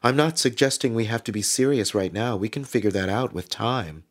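Man voicing a low, tender single dad. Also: fairly quick, lightly acted. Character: tender, gentle single dad courting a boyfriend.

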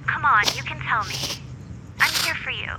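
A woman's voice: high voice, normal speed, heavy background noise, natural voice. An actress playing a self-absorbed young socialite woman, gushing and dramatic in an audio drama. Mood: concerned. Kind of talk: audio-drama acting, gossipy phone chat.

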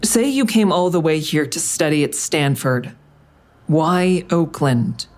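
An actress playing a hard-edged, skeptical woman interrogating an enemy in an audio drama, with a husky voice and terse, pointed, scornful questions. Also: sits low, animated, takes it slowly, a little mellow. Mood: sardonic. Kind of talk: full-cast audio drama acting.

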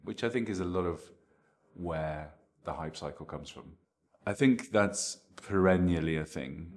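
A man giving conversational interview answers as an expert, explaining his opinions in a measured way. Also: very deep voice. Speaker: interviewed marketing technology expert.

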